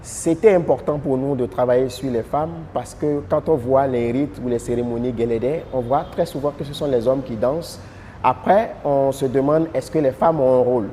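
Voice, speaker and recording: A man with a dark, mellow voice explains the research in a steady, fluent way. His pitch sits in the middle range. The sound carries a long hall reverb, with a faint ambient hum underneath. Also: moderate pace. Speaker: artistic collaborator. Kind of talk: interview testimony.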